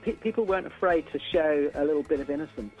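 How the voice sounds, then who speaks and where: An older man speaks in a casual radio interview, with a voice on the high side. He gives firm opinions, often repeating words as he works up to a point. There is faint music in the recording. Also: fast, husky, highs cut off. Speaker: interview guest, band musician.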